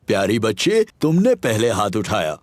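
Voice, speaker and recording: A man's voice: on the low side, wildly swooping pitch, moderate pace, slight background noise. A man voicing a jolly father pig who plays a grand stage magician in a children's cartoon, theatrical and playful. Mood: showy, jolly. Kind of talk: children's cartoon dub dialogue.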